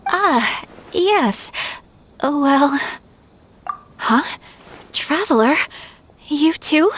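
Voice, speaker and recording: A woman playing a gracious, composed young noblewoman swordfighter in a video game, speaking in a polite, formal, gentle way. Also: sits high, lively intonation, drawn-out words, full character voice. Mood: shy. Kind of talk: video game cutscene dialogue.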